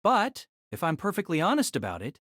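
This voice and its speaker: A woman playing a gentle, honest teenage boy in love, in an audio drama. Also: lowish voice, wildly swooping pitch, a little fast, no echo, studio quality, heavily acted. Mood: earnest.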